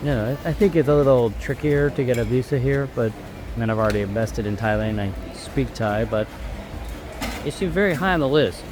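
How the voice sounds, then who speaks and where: A man chatting casually while filming a travel vlog outdoors in a city, thinking aloud in a relaxed way. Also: medium pitch, a little fast, music in the background. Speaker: travel vlogger. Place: outdoors in a busy city street. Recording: street noise behind. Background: traffic and city ambience.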